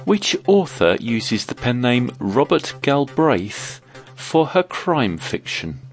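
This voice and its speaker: A man with a cold and a croaky voice, hosting a quiz and reading out the questions clearly. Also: medium pitch, big pitch swings, takes it slowly, soft background music. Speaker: quiz host.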